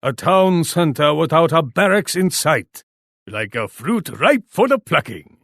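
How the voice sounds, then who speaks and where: An actor playing a proud, imperious Japanese warlord in a video game, speaking formally. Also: male voice, on the high side, big pitch swings, unhurried, gravelly, a bit bright, dry recording, clean studio sound, full character voice. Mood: calculating. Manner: imperious, formal, speaks of himself in third person. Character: proud, haughty Japanese warlord commanding his armies. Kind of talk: video game character voice lines.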